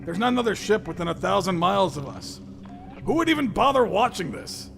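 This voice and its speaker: Man voicing a gravelly, oblivious starship captain. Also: high-pitched, animated, fairly quick, fairly bright tone, soft background music, exaggerated voice. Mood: breezy. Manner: unconcerned, self-assured. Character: blithely oblivious, eccentric male starship captain. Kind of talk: parody anime dub.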